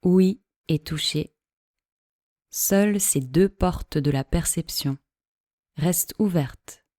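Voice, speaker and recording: A woman teaching yoga nidra, giving calm, measured guided-meditation instructions in a soothing way with long pauses. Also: fairly low pitch, no echo, studio quality.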